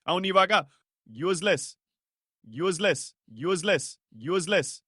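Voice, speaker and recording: A man's voice: high-pitched, expressive, takes it slowly, a bit bright, husky, no echo, studio quality, full character voice. A man voicing a bossy, selfish young friend in a cartoon story, slangy and dismissive. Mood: scheming scorn. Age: young adult. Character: brash, selfish young ringleader of college friends. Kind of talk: cartoon short story dialogue.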